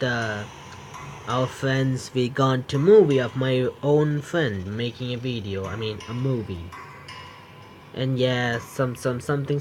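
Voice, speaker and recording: A man reading game text aloud as a streamer, halting and stumbling, with casual asides. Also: mid-range voice, a little hiss or hum. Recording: music under the voice.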